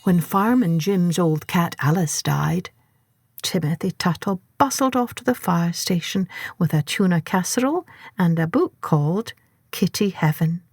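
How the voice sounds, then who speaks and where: A woman narrating a children's story expressively, with deliberate, dramatic phrasing. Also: on the low side, lively intonation, darkish tone, slightly rough, no room sound.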